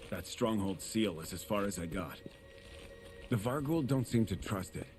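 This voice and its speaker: An actor playing a dutiful knight scout in a fantasy game, reporting in an earnest, respectful military manner. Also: male voice, fairly low pitch, expressive, normal speed, a little mellow, soft background music, lightly acted.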